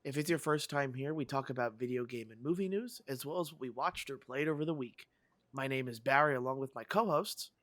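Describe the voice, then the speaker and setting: A man hosting a casual gaming podcast, his voice soft and hoarse from having lost it, rambling enthusiastically with fillers. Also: medium pitch, fairly quick, no room sound. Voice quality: soft, breathy. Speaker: podcast host.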